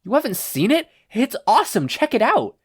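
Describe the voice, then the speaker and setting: A reader doing a big-hearted teenage boy from a hero school story. He sounds casual and keen, a sentimental bro with a raised, bouncy pitch. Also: huge pitch swings, moderate pace, breathy edge, dry recording, clean studio sound. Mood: excited.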